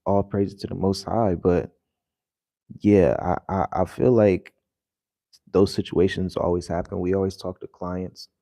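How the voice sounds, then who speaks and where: Deep-voiced man rambling just after waking. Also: steady tone, a little slow, creaky, mellow, no room sound, clean studio sound. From United States. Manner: rambling, loose and conversational with many fillers. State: just woke up, voice deeper than usual. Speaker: vlogger. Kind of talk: casual vlog talk to viewers. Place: home in the early morning.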